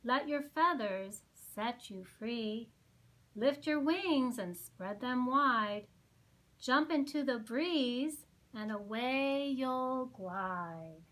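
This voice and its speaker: A woman's voice: fairly high pitch, lively intonation, extremely slow, a bit bright, exaggerated voice, rough recording. A woman voicing a majestic, kindly male giant albatross in a storybook. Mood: sing-song.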